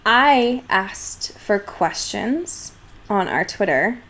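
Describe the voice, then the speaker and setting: A woman's voice: mid-range voice, animated, extremely slow, a bit bright. A young woman co-hosting a podcast in casual banter, irreverent and freely swearing in slang. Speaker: podcast co-host.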